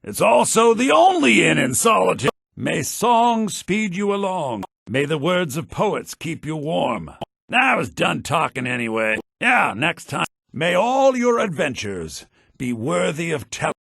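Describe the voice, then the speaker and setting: A man's voice: on the high side, very animated, measured pace, gravelly, a bit bright, slightly rough, no room sound, studio quality, heavily acted. An actor playing a smug, sly townsman in a fantasy video game, with a sardonic, self-important and theatrical delivery. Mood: welcoming, salesmanlike, then dismissive.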